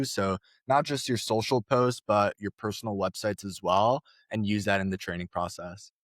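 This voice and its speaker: A man's voice: lowish voice, a bit bright, no echo, clean studio sound. A young man, a startup co-founder, explaining his product in a casual podcast interview with some fillers.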